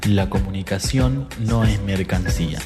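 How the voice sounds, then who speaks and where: A man with an Argentine accent reading a station identification in a measured announcing manner. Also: low voice. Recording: over loud music bed.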